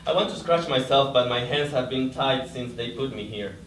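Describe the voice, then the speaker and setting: Distant echoey room, faint noise, male actor as numb suffering captive, measured stage delivery. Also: medium pitch, close to an everyday voice. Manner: short, plain, measured sentences. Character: suffering bound prisoner, numb and stoic. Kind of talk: staged dramatic reading, monologue. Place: live staged reading indoors.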